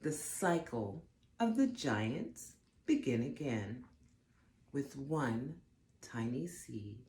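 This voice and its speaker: Mellow, low-voiced woman reading a storybook. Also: sing-song, reverberant. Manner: dramatic, deliberate emphasis for young listeners. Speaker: storybook reader for children. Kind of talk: expressive read-aloud of a picture book.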